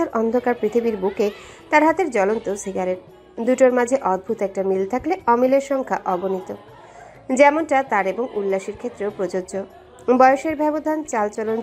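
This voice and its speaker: A woman's voice: mid-range voice, a little mellow. A woman narrating a scripted story in a dramatic storytelling style. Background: background music.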